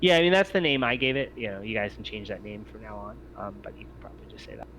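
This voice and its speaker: A man improvising descriptive narration as game master of a tabletop game, in a halting way. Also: fairly low pitch, sing-song, creaky, fairly bright tone. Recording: dull audio with faint noise.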